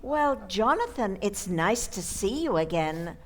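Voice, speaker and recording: A woman plays a genteel old aunt in an old-time radio comedy. She speaks slowly and with lively swoops, polite but easily flustered. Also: mid-range voice, a little hiss or hum.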